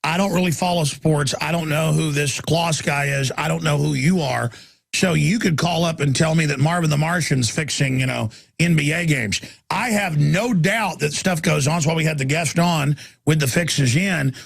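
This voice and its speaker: A man hosts a call-in radio show in a gravelly, husky voice that sits a little high. He talks emphatically in long, rambling runs and often doubles back on his words. The sound is dry and close to the mic. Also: moderate pace, no echo. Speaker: radio talk show host.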